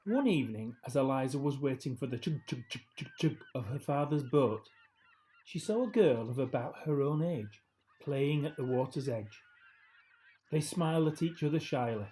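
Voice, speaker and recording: A man narrating a children's story as a storyteller, with measured, expressive phrasing and deliberate pauses. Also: medium pitch, low-quality recording.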